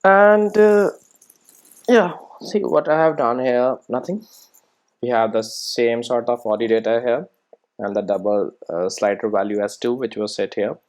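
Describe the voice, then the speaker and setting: A software instructor giving an informal screencast coding tutorial, explaining step by step and often checking that listeners follow. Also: mid-range voice, unhurried. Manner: explanatory, frequently checking understanding with tag questions.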